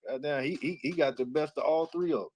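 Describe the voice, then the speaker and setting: Fast-talking man in casual chat. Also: a little high. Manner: fast, filler-heavy talk, keeps saying "you know". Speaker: livestream guest.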